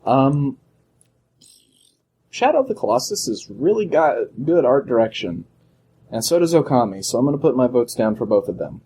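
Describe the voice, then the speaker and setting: Husky deeper-voiced young man bantering. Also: very animated, normal speed, pauses often, dark tone. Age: young adult. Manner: teasing, joking banter. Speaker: podcast co-host. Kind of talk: casual podcast chat.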